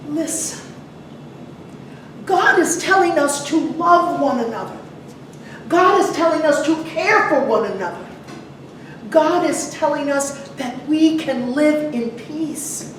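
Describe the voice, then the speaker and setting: A woman preaching a sermon to a congregation in a conversational way, putting questions to her listeners and pausing for emphasis. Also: sits high, expressive. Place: large echoey church room. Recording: very echoey, distant mic, some noise.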